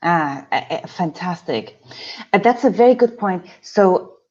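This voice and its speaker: A woman's voice: fairly low pitch, expressive, a little slow, darkish tone, highs cut off. A woman co-hosting a live online talk in a casual, conversational way.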